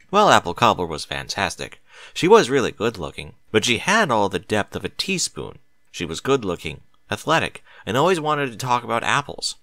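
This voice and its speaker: A man's voice: medium pitch, bright, forceful tone, dry recording. A man reading a story aloud as scripted narration, with dramatic, emphatic delivery. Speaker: audiobook narrator of fan fiction.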